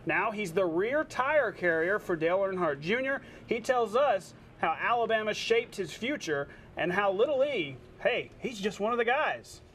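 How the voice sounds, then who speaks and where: A man anchoring a sportscast, reading scripted highlights and trading short banter with a co-anchor. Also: very animated, normal speed, hardly any pauses, a bit bright, a little hiss or hum.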